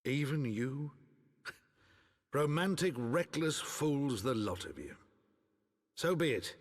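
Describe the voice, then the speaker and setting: A man's voice: medium pitch, lively intonation, slow. A man playing a stern, weary middle-aged noble lord and father in a fantasy game, grave and admonishing in formal archaic speech. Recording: long hall reverb.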